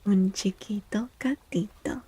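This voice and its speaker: A soft-spoken woman chatting in a gentle, playful ASMR style as a streamer, often dropping to a whisper. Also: medium pitch, measured pace, husky. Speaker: live streamer reading tarot cards for chat. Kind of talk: soft-spoken ASMR chat, greeting viewers.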